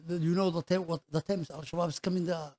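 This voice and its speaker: A man from Somalia, around his thirties, with a Somali accent, telling his story casually as an interview guest in halting, repetitive non-native English. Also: fairly high pitch, steady tone, a little mellow.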